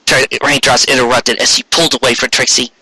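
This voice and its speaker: A man narrates a fantasy story in an amateur read-aloud style. His voice is very bright and somewhat raspy, recorded close and dry. He trips on words and corrects himself as he goes. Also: mid-range voice, level tone, fairly quick, no room sound. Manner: stumbling over words, self-correcting. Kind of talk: reading fan fiction aloud, narration.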